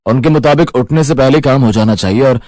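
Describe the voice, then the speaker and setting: A man's voice: medium pitch, brisk, a little mellow, no room sound, natural voice. A man voicing a dutiful young married son with an earnest, explanatory manner in a cartoon moral story. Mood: apologetic, earnest.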